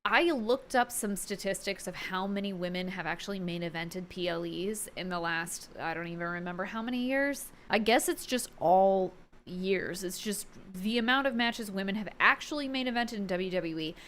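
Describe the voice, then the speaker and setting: A woman talking casually and enthusiastically to viewers in an informal, slangy opinion vlog, like an excited fan. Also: medium pitch, normal speed, slight background noise. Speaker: wrestling fan vlogger, host.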